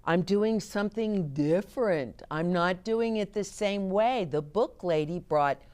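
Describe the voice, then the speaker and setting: A woman reading picture books aloud to children in an expressive way, chatting to her young listeners as host. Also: mid-range voice, a little slow, clear, resonant tone. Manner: expressive, explains words and chats to young listeners. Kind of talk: animated children's read-aloud with asides.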